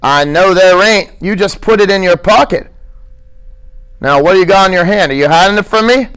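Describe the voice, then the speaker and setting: A small, short-tempered working man who scolds and grumbles in a high, irritable voice, as a male reader puts him on. He talks with gruff impatience in this storybook reading. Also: moderate pace, a bit bright, low-quality recording. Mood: gruff, scolding. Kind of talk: audiobook character reading.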